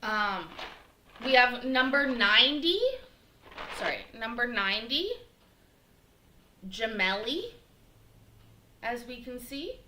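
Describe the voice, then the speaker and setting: A middle-aged woman with a bright, fairly high voice chats away as she cooks, rambling between fillers and greeting viewers by name. Her home kitchen gives the sound a roomy echo with a faint hiss underneath. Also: lively intonation, slow pace, pauses often. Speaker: livestream cooking show host. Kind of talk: casual livestream chat while cooking. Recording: echoey kitchen, faint noise.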